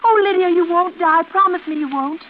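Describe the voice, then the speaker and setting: An actress playing a fragile, childlike, unstable twin sister in an old-time radio thriller, plaintive and anxious in manner. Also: female voice, very high-pitched, fairly quick. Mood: anxious pleading. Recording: noisy, tinny vintage radio broadcast.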